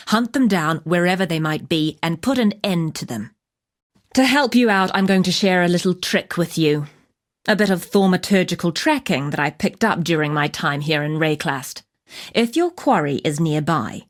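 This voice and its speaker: Casting: a game's wilderness huntress, slightly low register, purposeful, wry and matter-of-fact. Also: female voice. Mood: grim, determined. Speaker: game character voice actor. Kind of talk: video game quest-giver dialogue.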